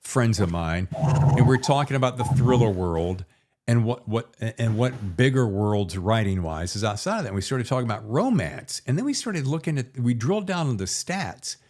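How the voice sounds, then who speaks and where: A man hosts a casual interview with lots of playful banter. His delivery is very animated, with wide swings in pitch. He comes across as effusive and informal, chatting freely with his guest. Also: moderate pace. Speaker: podcast host interviewing an author.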